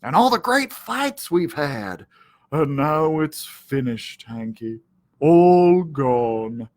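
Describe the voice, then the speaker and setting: A man voicing a sly, droll male cat in a storybook, with a slow, mock-gloomy drawl. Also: a little high, big pitch swings, darkish tone. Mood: mock mournful.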